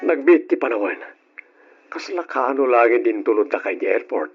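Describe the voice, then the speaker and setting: An actor playing a devoted but hesitant boyfriend, gentle and apologetic, in an old Ilocano radio drama with an Ilocano accent. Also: male voice, medium pitch, lively intonation, moderate pace, muffled recording, soft background music, close to an everyday voice. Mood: fond, easygoing.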